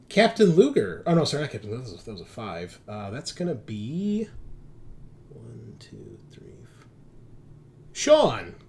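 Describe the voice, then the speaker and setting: A man working as game master, improvising descriptive narration for a tabletop horror game in a halting way. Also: mid-range voice, huge pitch swings, a little mellow.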